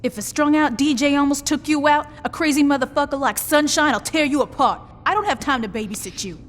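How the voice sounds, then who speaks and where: A woman's voice: high voice, brisk, lightly acted. A woman playing a tough, foul-mouthed female gang boss in a video game, blunt and dry. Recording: game audio with background noise.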